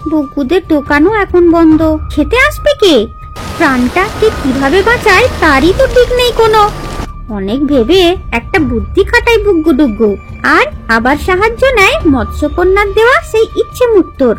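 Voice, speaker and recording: A woman narrating a children's fairy tale in a scripted, expressive and dramatic storytelling style, with music underneath. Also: very high-pitched, normal speed.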